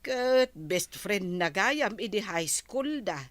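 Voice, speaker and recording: A woman's voice: medium pitch, expressive, slow, a bit bright, dry recording. An actress playing a curious neighbor woman who gossips about a visitor. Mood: gossiping. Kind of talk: Ilocano radio drama acting.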